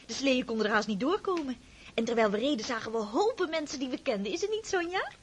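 An eager young daughter. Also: female voice, high-pitched, lively intonation, fast talker, slight background noise. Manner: earnest, emotional. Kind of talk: radio drama.

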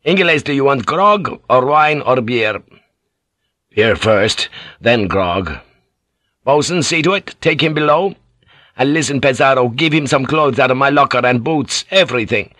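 A man's voice: mid-range voice, animated, a little slow, sharp tone, no echo, voice pushed up. A man voicing a coarse, foul-mouthed ship's pilot who barks orders and swears bluntly. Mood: commanding. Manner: gruff, barking orders, swearing.

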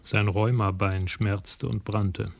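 A man narrating a radio crime drama in a measured, even storytelling voice. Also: on the low side, gravelly.

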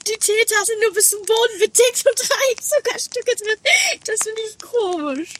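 A woman's voice: extremely high pitch, normal speed, a little hiss or hum. A woman playing a fussy, insistent, dramatic castle heiress in an amateur 1980s comedy radio play. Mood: chatty. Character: dramatic, impatient castle heiress about to travel.

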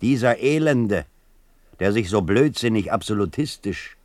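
A man's voice: fairly low pitch, expressive, a little slow, a little mellow, no echo. A man giving a dramatic, declamatory reading of a literary text. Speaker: reader of a preface.

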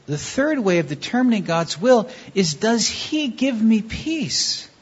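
A woman preaching a sermon in short phrases, pausing for an interpreter and acting out little dialogues. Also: fairly high pitch, husky. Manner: acts out short dialogues, lively. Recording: dull lo-fi recording.

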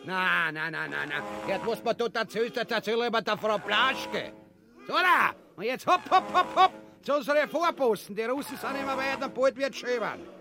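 A man's voice: very high voice, animated, moderate pace, vocal fry, over music, exaggerated voice. An actor playing a vulgar, blustering, cowardly usurper king in a farcical radio drama, bombastic and grumbling with a Viennese accent. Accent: Viennese Austrian. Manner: bombastic, grumbling, stammering in fluster.

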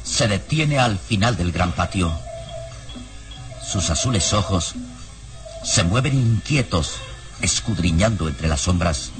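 A man's voice: deep voice, lively intonation, takes it slowly, pauses often, over music. A man narrating an old radio serial in a dramatic, suspenseful, emphatic style.

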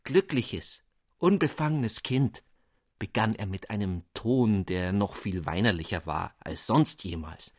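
A man's voice: lowish voice, lively intonation, measured pace, dry recording, clean studio sound. A man voicing a whining, solemn old bearded sorcerer of a father in a fairy-tale reading.

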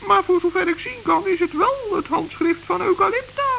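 Little gnome voice, super high and bright, kind and eager. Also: expressive, gravelly, full character voice. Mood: reading, worried. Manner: earnest, hurried, stammering when flustered. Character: small earnest forest gnome, kind and brave. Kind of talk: vintage children's radio play. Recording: noisy old radio play recording.

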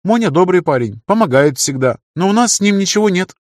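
A man voicing a lively young woman who is bold, direct and playful, as an audiobook character. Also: high-pitched, fairly quick, no echo, studio quality, pitched above the performer's own voice. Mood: chatty. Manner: playful, expressive.

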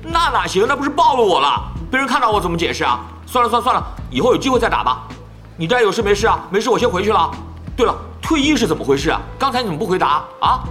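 An actor playing a chatty, nosy young male pro gamer who pesters rapidly in an audio drama. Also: high-pitched, very animated, bright, forceful tone, full character voice. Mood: pestering. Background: background music.

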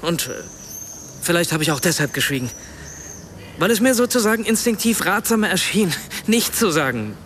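An actor playing an earnest, self-doubting writer in a radio play, hesitant and searching for words. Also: male voice, high voice, big pitch swings, moderate pace, pauses often, fairly bright tone, a little hiss or hum. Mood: apologetic, probing. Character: earnest, self-doubting German male writer, apologetic and probing. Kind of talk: naturalistic radio play dialogue.

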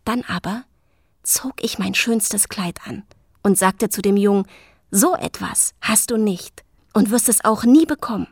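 An actress playing a queen's gentle female companion in a radio drama, speaking in a measured, deliberate storytelling way. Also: medium pitch, husky, dry recording. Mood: reflective.

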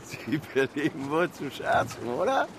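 An actor playing a practical, wary harbour pilot and boat owner in a radio play, speaking in a blunt, down-to-earth way. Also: male voice, a little high, big pitch swings, measured pace, noisy background.

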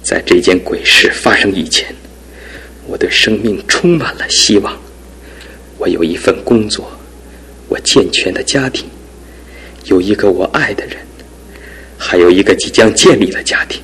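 An actor in a radio drama playing a despairing young man crippled in one leg, his talk swinging from hushed to anguished outbursts. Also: fairly low pitch, moderate pace, long pauses, breathy edge, low-quality recording. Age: young adult. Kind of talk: broadcast radio drama acting.

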